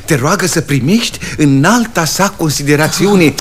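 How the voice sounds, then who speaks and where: A man plays an eager, self-important small-town brother in an old radio comedy. His voice sits fairly high and is emphatic and conspiratorial, on a hissy, clicking recording. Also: expressive, close to an everyday voice. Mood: knowing, emphatic. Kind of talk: theatrical radio play comedy acting.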